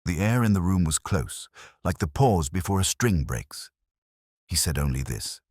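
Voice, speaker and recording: Very deep-voiced brooding male narrator. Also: big pitch swings, darkish tone, slightly rough, no echo, clean studio sound. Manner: measured, brooding, somber delivery. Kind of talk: first-person noir detective narration.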